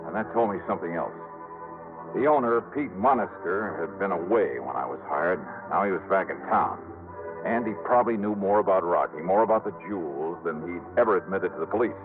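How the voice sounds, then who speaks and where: A world-weary hardboiled investigator telling his case in clipped radio narration. His voice is very deep. The vintage recording is tinny, with music underneath. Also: lively intonation, a little fast. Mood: wry, tense. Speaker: detective narrator.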